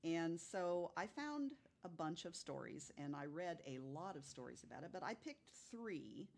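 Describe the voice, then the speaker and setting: A woman's voice: deep voice, sing-song, measured pace, vocal fry. A woman telling stories as a guest in a casual podcast conversation, with an emphatic, agreeing way of talking.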